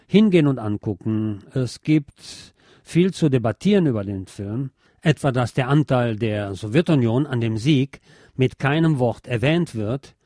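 Mellow male radio host, dry studio sound. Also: mid-range voice, no room sound. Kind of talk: film review monologue on radio.

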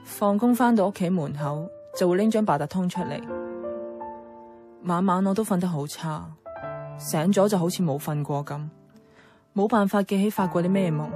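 A woman's voice: mid-range voice, natural voice. A woman with a Hong Kong Cantonese accent playing a guarded, blunt young office worker in a radio drama, speaking in a dry, matter-of-fact way. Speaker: radio drama actress and first-person narrator. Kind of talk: radio drama narration and dialogue. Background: background music.

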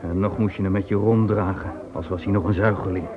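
A man's voice: low-pitched, steady tone, a little fast, a crowd in the background, close to an everyday voice. A man in a radio play voicing a blunt, stubborn middle-aged tanner mourning his son. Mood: grim. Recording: tinny old radio recording.

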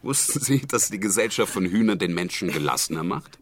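An actor playing a blunt, sardonic police detective in a dry, argumentative manner in a radio crime drama. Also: male voice, sits low, expressive, fairly quick. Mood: teasing.

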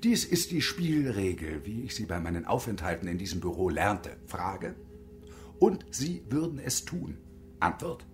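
An actor playing a bitter, desperate hostage-taking engineer in his fifties in a radio drama, with sardonic, menacing, deliberate phrasing. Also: on the low side, fairly bright tone. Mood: sardonic, formal. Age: middle-aged.

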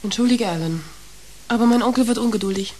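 A woman's voice: fairly high pitch, fast talker, very noisy, natural voice. An actress playing a patient, yearning niece who looks after her uncle in a German radio play.

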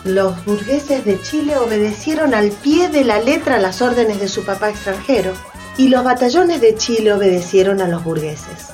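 A radio theatre narrator voiced by a woman, telling the story in a measured, scripted way. The voice sits at a middle pitch, with faint music underneath. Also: expressive, darkish tone, natural voice. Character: narrator of a historical radio satire. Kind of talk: scripted dramatized narration in Spanish.

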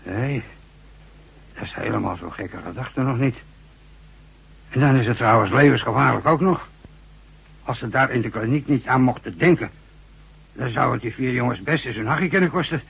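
A man's voice: mid-range voice, normal speed, gravelly, long pauses, fairly bright tone, breathy edge, exaggerated voice. A man acting a worried, matter-of-fact construction boss in a science fiction radio drama. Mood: grave, decisive. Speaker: actor in a radio play. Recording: dull, noisy old radio recording.

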